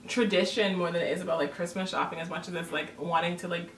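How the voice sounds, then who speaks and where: A woman's voice: lowish voice, fairly even intonation, quick pace. A woman raised in Toronto talks earnestly to the camera, laying out her thoughts and calling the audience "y'all." Her voice is caught from a distance in a roomy space, with a faint hiss behind it. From born in Jamaica, raised in Toronto, Canada. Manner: earnest and explanatory, addresses viewers as "y'all". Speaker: vlogger. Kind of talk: casual couple's chat to camera.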